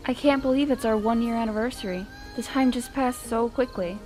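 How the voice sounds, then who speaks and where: A woman's voice: on the high side, fairly quick, a little mellow, close to an everyday voice, rough recording. A woman playing a young woman in love in a radio play, tender and dramatic. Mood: tender, romantic. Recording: music behind the voice.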